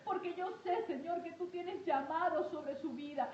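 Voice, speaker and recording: Female prayer leader, high-pitched with a soft-edged tone, fervent and insistent delivery, echoey room with faint noise. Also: fairly even intonation, normal speed, dull audio. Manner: emphatic and repetitive, with frequent invocations. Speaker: prayer leader preaching to an online audience. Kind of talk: fervent intercessory prayer and exhortation. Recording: roomy echo, faint background noise.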